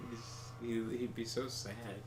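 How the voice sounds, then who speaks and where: A man's voice: medium pitch, flat delivery, slow. A man chatting casually over a game, telling rambling anecdotes full of fillers and chuckles. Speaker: co-host of a game stream. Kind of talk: casual chat while gaming. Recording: roomy echo, faint background noise.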